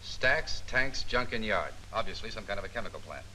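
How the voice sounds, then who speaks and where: An actor playing a confident, meticulous Army photo interpreter in a 1940s training film, explaining things precisely. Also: male voice, fairly low pitch, animated, brisk, bright, forceful tone, rough recording. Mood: self-assured. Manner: precise, self-assured, explanatory. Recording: 1940s film soundtrack with faint hiss.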